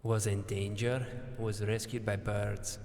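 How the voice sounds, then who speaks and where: A man's voice: fairly low pitch, little pitch movement, takes it slowly, darkish tone, voice pushed down. A man voicing a blunt male general with clipped, terse speech. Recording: echoey reverberant room.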